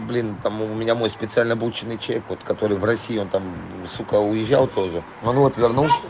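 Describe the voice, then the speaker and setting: A hoarse man rambling profanely in a casual voice message to a client, full of fillers. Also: on the low side, noisy background. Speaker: trailer import dealer.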